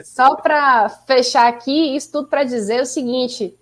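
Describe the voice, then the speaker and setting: A woman's voice: sits high, measured pace. A woman co-hosting a casual live podcast stream, chatty and upbeat as she urges viewers to like and subscribe. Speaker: podcast co-host.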